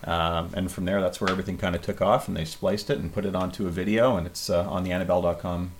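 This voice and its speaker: Very deep-voiced band member telling a story. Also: male voice, quick pace, a little hiss or hum. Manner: relaxed storytelling with many ums. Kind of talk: casual interview chat.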